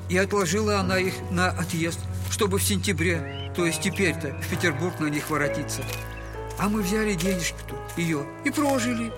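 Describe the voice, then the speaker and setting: A man plays a poor retired captain, a loving father with a wounded, humble air. His voice sits rather high, and he talks in an effusive, halting, old-fashioned servile manner. Music plays clearly behind this audio drama monologue. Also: natural voice. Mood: agitated, pleading.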